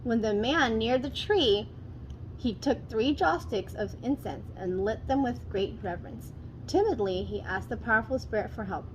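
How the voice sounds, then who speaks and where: Female storyteller for expressive children's read-aloud, slightly high register, faint noise behind the voice. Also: normal speed, muffled recording.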